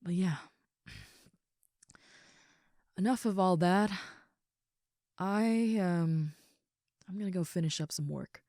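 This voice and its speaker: A woman playing a caring, affectionate young ogre roommate in an intimate comfort roleplay, speaking softly and sincerely in a rambling, reassuring way. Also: fairly low pitch, measured pace, no echo, studio quality. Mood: gentle, reassuring.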